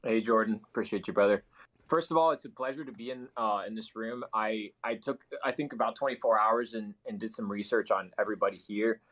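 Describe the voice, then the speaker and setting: Lowish-voiced young man, American accent. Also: fairly even intonation, brisk, a bit bright. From Argentina. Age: 20s. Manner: informal, sweary. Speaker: entrepreneur, guest. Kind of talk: casual personal storytelling.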